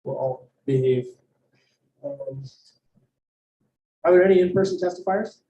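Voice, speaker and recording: A man chairing a public planning meeting, reading the procedural rules in a measured, formal way. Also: on the high side, dark tone, distant mic. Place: meeting hall. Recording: dull, roomy audio from off-mic.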